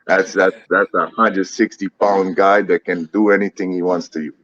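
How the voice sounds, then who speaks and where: A man's voice: low voice, steady tone, normal speed, a bit bright, no room sound, lo-fi sound. A man in his thirties with a foreign accent, a fighter talking casually in an interview, laid-back and swearing often. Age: 30s. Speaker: MMA fighter, guest. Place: Ottawa.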